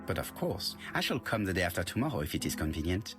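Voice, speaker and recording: Belgian detective, low voice, lively intonation, French-tinged accent, formal, courteous, self-assured, video game dialogue over music. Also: male voice. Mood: courteous, purposeful. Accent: French-speaking Belgian. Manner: formal, precise, deliberate.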